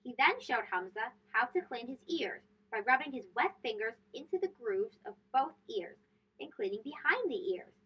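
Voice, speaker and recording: A woman reads a children's storybook aloud in a high voice. Her delivery is expressive and very animated, leaning on key words. The recording sounds a little dull and lo-fi. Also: moderate pace, breathy edge. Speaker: storybook reader and host.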